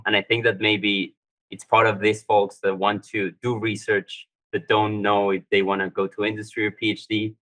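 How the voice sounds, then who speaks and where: Low-voiced man on a panel. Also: normal speed, low-quality recording. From Colombia. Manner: relaxed, uses many "like" fillers. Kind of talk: casual panel talk.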